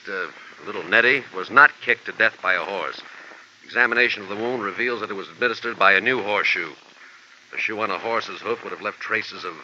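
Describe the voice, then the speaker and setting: Deadpan police lieutenant, lowish bright voice, hiss. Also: male voice. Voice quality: official, report-reading tone. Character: matter-of-fact male police lieutenant. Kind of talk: 1950s radio drama acting. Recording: dull noisy old radio recording.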